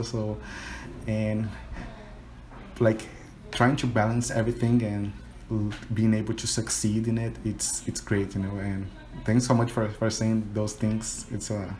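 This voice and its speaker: A man's voice: on the low side, dark tone, slight background noise, poor audio. A man from Brazil with a Brazilian Portuguese accent, a Portuguese native speaker, chatting casually in English on a video call and often saying "you know". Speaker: English teacher and learner. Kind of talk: casual conversation practice call.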